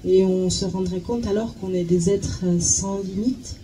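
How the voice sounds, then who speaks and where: A woman's voice: mid-range voice, measured pace, rough recording. A woman working as a live interpreter, hesitant, often repeating words as she searches for the phrasing. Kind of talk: consecutive interpreting. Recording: clear steady background noise.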